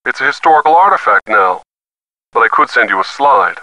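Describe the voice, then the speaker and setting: An actor playing a formal, fussy, reluctant library archivist in a video game, speaking precisely and officiously. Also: male voice, mid-range voice, big pitch swings, a little fast, pushed and bright, no room sound, low-quality recording.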